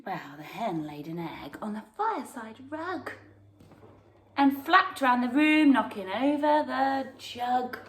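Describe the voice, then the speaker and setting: Slow, lilting woman storyteller. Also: on the high side, very animated, fairly bright tone, slight background noise. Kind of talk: expressive children's picture-book read-aloud. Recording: roomy, echoey sound.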